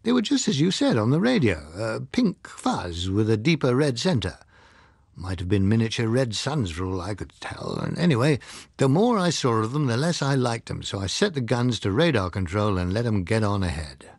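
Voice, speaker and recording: Military pilot, acted by a male reader, husky, guarded and matter-of-fact, animated delivery for an audiobook. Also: lowish voice, normal speed, no echo, studio quality, full character voice. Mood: candid, animated. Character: candid military pilot recounting a strange encounter. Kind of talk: audiobook character dialogue.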